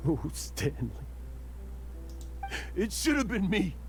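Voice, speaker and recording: A man's voice: high voice, very animated, slow, full character voice. A man voicing a boastful adventurer who hides guilt and grief, in dramatic anime-style game acting. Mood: anguished.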